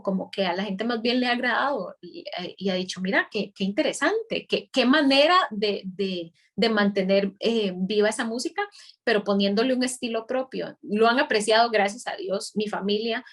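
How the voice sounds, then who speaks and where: A woman's voice: medium pitch, moderate pace. A young Costa Rican woman from Guanacaste, a musician guest, talking reflectively in a live video chat with many small restarts. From Costa Rica, Guanacaste. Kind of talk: casual interview over a live video stream. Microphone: video call.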